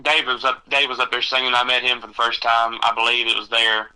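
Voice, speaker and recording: Bright-voiced young man. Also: mid-range voice, completely flat delivery, clean tone, rough recording. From Texas. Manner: halting, rambling with many fillers. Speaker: country singer guest. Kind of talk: casual interview chat.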